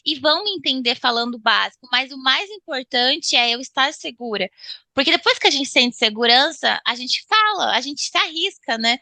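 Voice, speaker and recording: Bright-voiced Brazilian woman with creaky edge. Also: high voice, animated. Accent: Brazilian Portuguese. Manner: halting, repeats words as she searches for phrasing. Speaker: interview guest, English student. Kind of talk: casual interview conversation.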